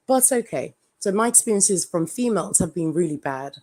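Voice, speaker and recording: Woman, English accent, low-pitched, blunt, dark-humored, casual live-stream guest. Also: lively intonation, moderate pace. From Jamaica.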